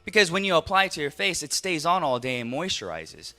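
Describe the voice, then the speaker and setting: A man's voice: on the high side, brisk. A man in his thirties with an American accent giving a motivational business talk, telling stories and putting rhetorical questions to his audience. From Los Angeles, United States. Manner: animated storyteller, rhetorical questions to the audience, acts out dialogue. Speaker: business speaker, network marketing entrepreneur.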